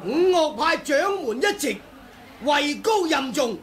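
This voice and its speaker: A sneering, very high-voiced swordsman. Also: animated, measured pace, some chatter behind. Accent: Hong Kong Cantonese. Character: scornful male martial artist siding with the leader. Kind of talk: 1980s radio drama acting.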